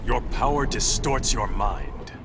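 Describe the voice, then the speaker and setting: A man's voice: medium pitch, slow, loud music behind the voice. An actor playing a proud, stern undersea warrior king in a video game, with a regal, defiant, formal way of speaking. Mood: stern defiance.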